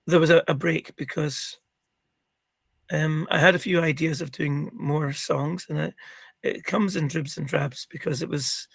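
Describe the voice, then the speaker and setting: A middle-aged man talks as a guest in a casual interview, his voice croaky and gravelly with a husky edge. He sits a little higher in pitch than most men and rambles with plenty of ums and restarts. The sound is dry and close, with no room echo. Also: steady tone, unhurried.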